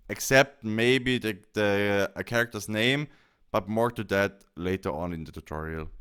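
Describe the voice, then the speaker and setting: Male tutorial presenter, casual and instructional, smooth clear tone with animated inflection. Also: lowish voice. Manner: explains step by step. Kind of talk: casual software tutorial narration.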